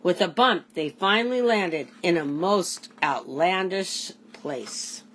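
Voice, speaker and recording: A woman, a teacher, reading aloud to children in an expressive storybook style. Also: lowish voice, slow, breathy edge.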